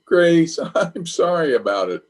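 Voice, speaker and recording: A man's voice: on the high side, very animated, unhurried. A man playing a flustered, blustering middle-aged bachelor in an old-time radio comedy, hemming and sheepish. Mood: sheepish, apologetic.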